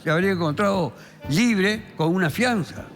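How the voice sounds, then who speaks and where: An Argentine man, a criminal lawyer and columnist, telling a court case in a deliberate, theatrical way with emphatic pauses. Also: high voice. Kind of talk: dramatic storytelling of a court case. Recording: long reverberant hall sound, faint music behind.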